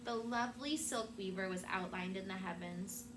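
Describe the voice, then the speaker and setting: A woman voicing a wise, calm enchantress from a storybook in a measured, reassuring way. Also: medium pitch, lightly acted. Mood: solemn, kindly. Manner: soft-spoken, measured, reassuring. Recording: clearly noisy room recording.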